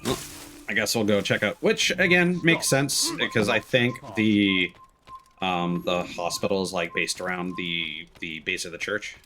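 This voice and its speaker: A man's voice: low-pitched, measured pace, noisy background. A man streaming a video game, giving casual, musing commentary with some hesitation.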